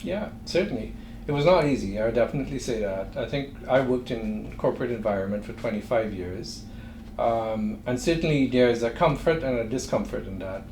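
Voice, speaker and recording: A middle-aged man with a Trinidadian accent, a guest speaking in a thoughtful, measured way with frequent pauses. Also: medium pitch, a little mellow. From Trinidad and Tobago. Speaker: guest entrepreneur. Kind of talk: interview conversation. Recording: echoey room with faint noise.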